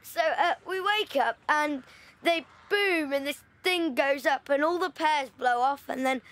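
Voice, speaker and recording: A sharp, watchful little boy in a wartime audio play. He, and tells things in a knowing, secretive way. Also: high-pitched, measured pace. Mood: eager, knowing. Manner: knowing, storytelling. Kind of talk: audio drama dialogue.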